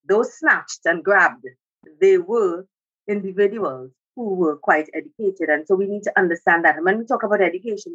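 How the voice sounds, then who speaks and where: Husky Trinidadian woman explaining like a lecturer. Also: on the low side, lo-fi sound. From Trinidad and Tobago. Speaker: academic guest, music sociologist. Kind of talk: explanatory interview talk.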